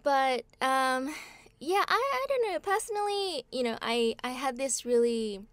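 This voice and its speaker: A woman's voice: high voice, lively intonation, unhurried, fairly bright tone, dry recording. A young woman who grew up in Australia, a voice actor talking as a guest in a friendly, chatty way. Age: young adult. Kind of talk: casual interview chat.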